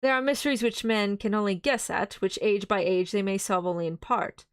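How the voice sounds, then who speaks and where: A woman's voice: medium pitch, steady tone, a little fast, smooth, dry recording, clean studio sound, natural voice. A woman voicing a grave elderly foreign professor in a read-aloud novel, speaking formally, sternly and earnestly.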